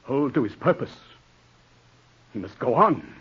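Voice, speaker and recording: An actor playing a proud, ruthless gentleman in an imperious, scornful, theatrical manner for a 1940s radio drama. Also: medium pitch, sing-song, a little slow, slightly rough, full character voice. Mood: scornful, impassioned. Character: proud, ruthless gentleman clinging to respectability and power. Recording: dull old 1940s radio broadcast.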